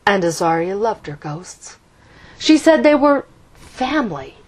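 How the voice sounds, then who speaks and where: A woman reading a storybook, voicing a calm, matter-of-fact father in a measured way. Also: mid-range voice.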